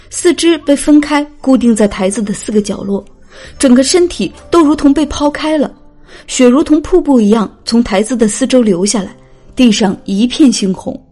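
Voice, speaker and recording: Woman reading a dramatic audiobook, kinda high voice, really mellow tone. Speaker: audiobook narrator. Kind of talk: dramatic scripted story narration.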